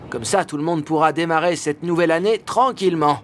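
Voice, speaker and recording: A man's voice: on the high side, brisk, a bit bright, slight background noise, close to an everyday voice. A man voicing a determined young hunter in a children's cartoon tale, talking to himself in an earnest, theatrical way.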